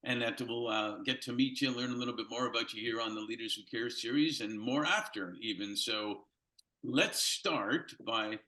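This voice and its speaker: An older man hosts an interview series in a friendly way. He rambles and restarts his questions several times before he lands on one. His voice sits at a middle pitch for a man. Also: moderate pace, pushed and bright, low-quality recording. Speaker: host. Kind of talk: interview hosting. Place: Los Angeles.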